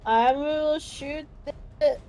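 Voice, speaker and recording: A woman chatting casually as a player in a tabletop game, expressive and exclamatory. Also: sits high, drawn-out words, soft background music.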